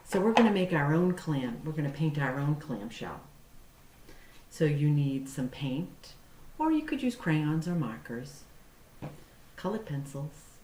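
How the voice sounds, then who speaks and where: A woman hosting storytime for young children, speaking in simple, deliberate phrases as she reads aloud or explains a craft. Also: deep voice, big pitch swings, darkish tone. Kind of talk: picture-book read-aloud and craft demonstration for young children. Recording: roomy echo with faint background noise.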